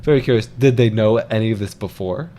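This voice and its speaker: A man's voice: fairly low pitch, fairly quick, over a noisy crowd. A man co-hosting a casual movie podcast, joking and teasing with exaggerated reactions. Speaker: podcast co-host. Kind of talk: casual movie review chat.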